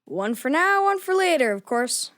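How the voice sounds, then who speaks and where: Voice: high voice, big pitch swings, moderate pace, fairly bright tone. An actor voicing a cheeky, impulsive boy who loves food, with a playful, theatrical way of talking in a children's audio drama. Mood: easygoing.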